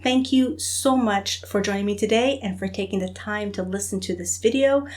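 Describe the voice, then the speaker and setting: A woman of ordinary middle pitch talks casually as the host of her own channel. She is chatty and loose, and fills her sentences with ums and little asides. Her delivery is relaxed and conversational. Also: normal speed. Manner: chatty, with frequent ums and filler phrases. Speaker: host of a spiritual book channel. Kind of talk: casual chat to camera.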